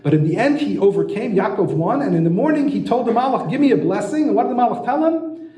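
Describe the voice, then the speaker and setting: A man with a high, mellow voice teaches a lecture and keeps tossing rhetorical questions at the audience. His tone is warm rather than sharp, and the room around him rings with a noticeable echo. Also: animated, fast. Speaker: astrology lecturer and author. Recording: roomy echo.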